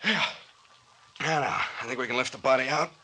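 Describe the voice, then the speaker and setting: An actor with an American accent playing a cool, commanding captain in an old-time radio mystery serial, matter-of-fact in manner. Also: male voice, mid-range voice, fairly quick, bright, forceful tone. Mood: deliberate, reasoning. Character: cool, commanding male police-style captain, the mayor's son. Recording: faintly noisy old-time radio recording.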